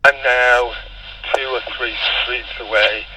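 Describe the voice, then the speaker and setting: A man's voice: medium pitch, fairly even intonation, slow pace, sharp tone, breathy edge, rough recording. A man with a British accent giving a casual running commentary over a walkie-talkie radio as he walks. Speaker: product reviewer. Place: outdoors on residential streets. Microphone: handheld walkie-talkie.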